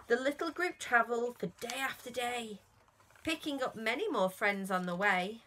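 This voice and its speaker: Female children's storyteller, thirties, higher-pitched and very expressive, sing-song rhyming delivery. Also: slight background noise, poor audio. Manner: slow, sing-song rhyming delivery with emphasis on key words. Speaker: children's author reading aloud.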